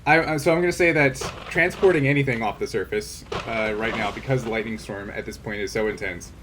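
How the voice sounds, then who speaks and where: Male game master with a middle register, measured and tentative, explaining rules over faint noise. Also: medium pitch.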